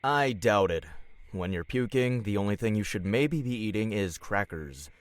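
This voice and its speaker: A man's voice: lowish voice, animated, moderate pace, clear, resonant tone, no room sound, lightly acted. A man playing a blunt, laid-back teenage gamer son in an audio drama, talking in offhand slang. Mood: dismissive.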